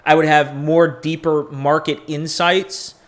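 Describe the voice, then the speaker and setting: A man's voice: on the high side, flat delivery, unhurried. A man in his forties hosting a crypto live stream, talking casually and candidly, rambling with profane asides. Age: 40s. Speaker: crypto podcast host.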